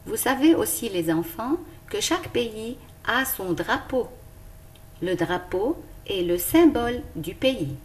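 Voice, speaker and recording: Female schoolteacher, teaching children slowly with a lively, rising and falling voice. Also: a little high. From Lebanon. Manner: slow, careful and clearly articulated. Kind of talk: recorded lesson for young pupils.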